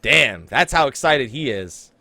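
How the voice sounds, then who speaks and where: A man's voice: medium pitch, expressive, a little slow, vocal fry, bright, forceful tone, no room sound. A man hosting a casual gaming podcast, talking conversationally with teasing emphasis. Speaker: podcast host.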